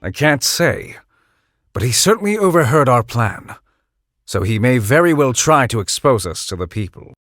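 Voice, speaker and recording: A man's voice: mid-range voice, sing-song, normal speed, a bit bright, dry recording. A man voicing a shrewd, scheming adviser in a video game, with dry, sardonic, articulate delivery. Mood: sly, calculating. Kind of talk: video game villain acting. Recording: background music under the voice.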